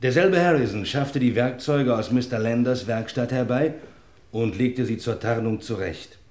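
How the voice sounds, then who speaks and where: A man plays a police inspector in a radio crime play, in an echoing room. His mid-pitched voice is official, methodical and matter-of-fact. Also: normal speed, a little mellow, natural voice. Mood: explanatory.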